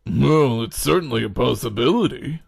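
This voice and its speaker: A man playing a genial, teasing middle-aged ex-teacher in an amateur audio drama, with a measured, formal way of talking. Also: medium pitch, lively intonation, vocal fry, husky, full character voice. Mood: wry.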